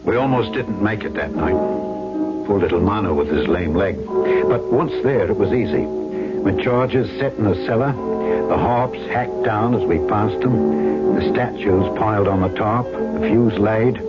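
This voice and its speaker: A man's voice: lowish voice, unhurried, close to an everyday voice. An actor playing a rugged, defiant trader who tells his island adventure in colloquial, dramatic style in a 1970s radio drama. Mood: gripping recollection. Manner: colloquial, dramatic first-person storytelling. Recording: dull vintage radio broadcast with loud music.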